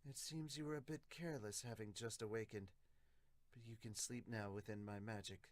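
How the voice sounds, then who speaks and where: A man voicing a stern, coolly menacing knight in a manga dub, with a measured, solemn delivery. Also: fairly low pitch, fairly even intonation, no echo, lightly acted. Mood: cool, quiet.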